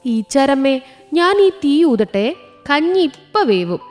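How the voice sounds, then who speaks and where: Woman voicing a high singsong cat, hardworking friend. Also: sing-song, slow pace, darkish tone, soft background music, heavily acted. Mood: coaxing. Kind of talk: children's cartoon storytelling.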